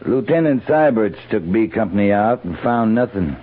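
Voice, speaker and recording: A man's voice: lowish voice, animated, moderate pace, phone-quality sound. An American man, middle-aged, playing a seasoned, stubborn cavalry captain with clipped, dry command, in 1950s radio western style. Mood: insistent. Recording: thin band-limited old radio recording.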